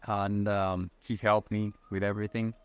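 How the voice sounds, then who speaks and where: A man's voice: lowish voice, level tone, normal speed, clear, resonant tone, dull audio. Ecuadorian man in his twenties, friendly. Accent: Latin American Spanish. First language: Spanish. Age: 20s. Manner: friendly, hesitant, many fillers and restarts. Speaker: interview guest. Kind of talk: casual conversational answers.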